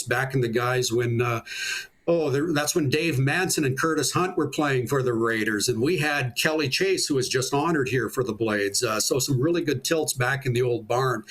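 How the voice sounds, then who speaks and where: A middle-aged man with a Canadian accent and a mid-pitched voice. He talks in a chatty, folksy way, like a sports announcer reminiscing as an interview guest. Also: fairly bright tone. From small-town Saskatchewan, Canada. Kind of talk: casual interview chat, reminiscing.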